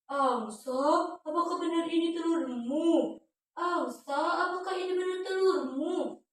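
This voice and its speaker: Kind, lonely storybook farmer played by a woman, high-pitched, tender and full of wonder, in a very echoey room. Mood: amazed. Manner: exclamatory, wondering, drawn-out phrases. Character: kind, lonely farmer, wide-eyed and tender toward animals. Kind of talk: children's fairy tale storytelling. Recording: echoey room, distant microphone.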